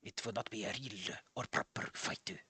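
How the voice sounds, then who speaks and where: Guy doing old martial arts master from a game, deep for him, fake japanese accent, formal and halting. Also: pushed and bright, breathy edge, no room sound, full character voice. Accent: mock Japanese. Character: mysterious, formal old male dojo master.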